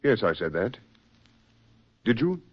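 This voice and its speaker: A man's voice: on the low side, big pitch swings, slow pace, husky, heavily acted. An impatient, blustering doctor out on a hunt. His intonation swings widely, in the manner of 1940s radio drama, on a dull, muffled recording. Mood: puzzled, impatient.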